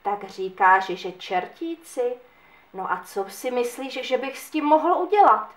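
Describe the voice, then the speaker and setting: A woman voicing a stern old St. Nicholas who talks slowly and deeply. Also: lightly acted. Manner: slow, deep, questioning. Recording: echoey room.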